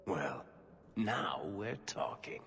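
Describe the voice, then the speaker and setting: A wisecracking storyteller in a game cutscene, his voice fairly low but lively and sly. A trailing reverb and faint noise hang around it. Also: extremely slow, vocal fry, breathy edge, exaggerated voice. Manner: sly, joking. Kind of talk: video game cutscene acting.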